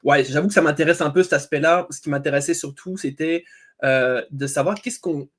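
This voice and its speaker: A man's voice: on the high side, fairly quick. A man speaking as a guest, introducing himself in a casual, informal, self-deprecating conversational way. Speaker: guest, web agency owner.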